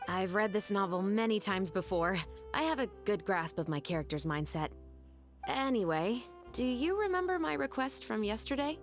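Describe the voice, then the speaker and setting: A woman playing a serious, bookish patrol investigator in a video game, speaking in a measured, formal, earnest way. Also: medium pitch, expressive, soft background music, natural voice. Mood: composed, explanatory.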